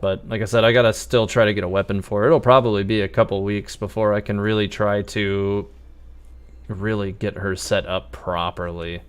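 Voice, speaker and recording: Male game streamer, low voice, casual and chatty, thinking out loud at the keyboard. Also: steady tone, normal speed, clean tone. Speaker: game livestreamer. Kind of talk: casual gameplay commentary. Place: indoors at a computer.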